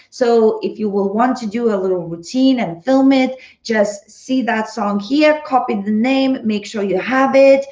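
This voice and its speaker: A woman dance instructor leading a live-streamed class, bubbly and greeting viewers by name, her voice a little worn as she is losing it. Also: fairly high pitch. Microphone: phone. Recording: roomy, slightly dull sound.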